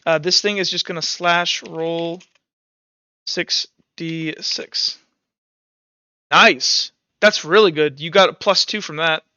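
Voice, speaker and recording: A man's voice: on the high side, fairly even intonation, slow pace, sharp tone, clear, resonant tone, no echo, dull audio. A young man running a tabletop roleplaying game as game master, talking informally and thinking aloud as he narrates.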